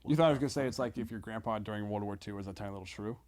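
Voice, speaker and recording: A man chatting casually as a comedian guest on a podcast, talking in halting, hedging bursts with casual swearing and frequent check-ins with listeners. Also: on the low side, crowd noise.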